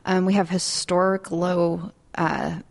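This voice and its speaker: A woman real estate agent, a podcast guest, explaining in a measured way with some ums. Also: lowish voice, flat delivery, creaky. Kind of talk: guest interview.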